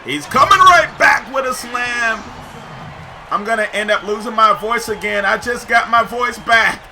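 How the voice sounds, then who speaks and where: A man giving excited, slangy live commentary over basketball video game play, shouting at big plays, his voice getting hoarse. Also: very high voice, measured pace, very noisy. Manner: slangy, says "yo" often. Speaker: gaming YouTuber.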